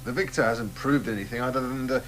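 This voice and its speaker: An actor playing an earnest, intellectual journalist with a dry manner. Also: male voice, mid-range voice, steady tone, fast talker, background noise, natural voice. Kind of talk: radio drama acting.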